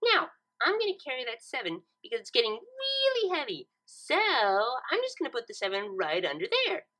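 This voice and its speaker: A bubbly female cartoon pony, acted out by a woman reader with a high, bright voice. She chirps along in a playful sing-song that leaps all over the place. She sounds relentlessly cheerful. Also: wildly swooping pitch, moderate pace, full character voice.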